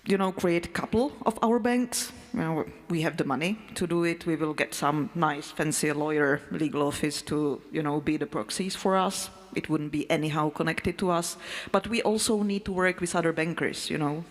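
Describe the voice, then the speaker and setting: A woman, an English speaker, talking casually and haltingly as a panel speaker in a matter-of-fact way. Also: deep voice. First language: not English. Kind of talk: role-played presentation as a crime boss to a live audience. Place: festival hall. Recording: echoey hall sound.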